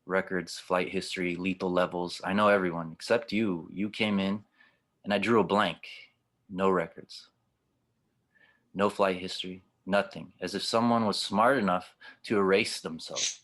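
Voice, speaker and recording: A man playing a gruff, cynical cyborg spaceship skipper who talks wryly and probingly in an audio drama table read. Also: low voice, steady tone, normal speed, a bit bright.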